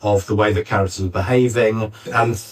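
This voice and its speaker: A man's voice: lowish voice, fairly even intonation, fairly quick. A man explaining his work informally as a panellist, caught on a distant live recording. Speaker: panellist, writer and director. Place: arts centre venue, picked up from afar.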